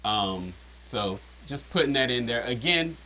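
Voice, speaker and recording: A man with a deep voice casually breaking down his own rap lyrics, rambling with lots of "um" and "you know". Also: animated, a little slow, sharp tone, a little hiss or hum. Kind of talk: casual explanatory chat.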